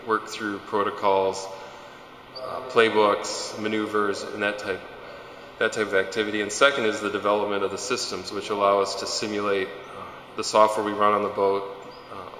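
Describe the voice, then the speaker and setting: Man, 30s, low-ish bright voice, flat, echoey big room, slight hum. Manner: conversational, hesitant restarts. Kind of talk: corporate video interview. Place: large indoor simulator hall. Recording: echoey hall with faint hum.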